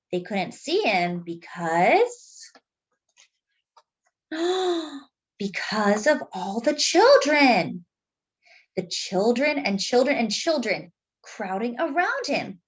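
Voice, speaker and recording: A woman reading a picture book aloud to young children as a storytime host, expressive and speaking directly to her listeners. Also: a little high, slightly rough.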